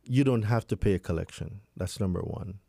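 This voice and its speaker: A man explains things to a host with a confident, plainspoken manner. His voice is mellow and dark, and the sound is dry. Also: on the low side, a little fast, creaky, breathy edge, no echo. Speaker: guest credit expert. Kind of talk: casual explaining.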